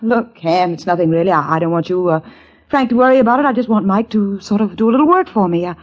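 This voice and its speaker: An actress playing a dazzling, high-strung young stage actress who talks dramatically and theatrically, in 1940s radio style. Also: female voice, expressive, fast, a little mellow, highs cut off, lightly acted. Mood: dramatic.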